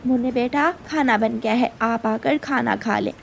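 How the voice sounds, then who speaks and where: A woman's voice: on the high side, moderate pace, gravelly, background noise. Woman playing a caring cartoon village mother. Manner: caring, polite. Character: kindly woodcutter's wife, caring village mother.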